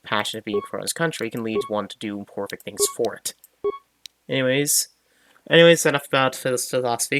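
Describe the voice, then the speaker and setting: A man giving casual let's play commentary, halting and rambling as he repeats himself. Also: mid-range voice, gravelly. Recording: loud game music behind voice. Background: loud game music.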